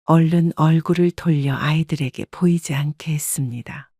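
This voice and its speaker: A woman narrating a first-person family story in a calm, measured manner. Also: deep voice, no room sound, studio quality.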